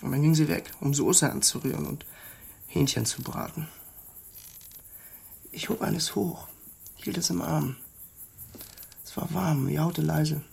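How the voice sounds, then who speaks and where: An actor playing a haunted, simple country man in a radio drama, halting and hushed, with a gravelly, husky voice. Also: on the high side, heavily acted. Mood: haunted, remorseful.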